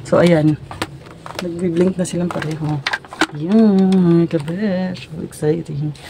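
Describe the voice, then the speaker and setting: A woman's voice: fairly low pitch, fairly even intonation, slow pace, background noise. A Filipino woman chatting informally to her viewers in a casual unboxing and product demo vlog. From Philippines. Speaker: vlogger.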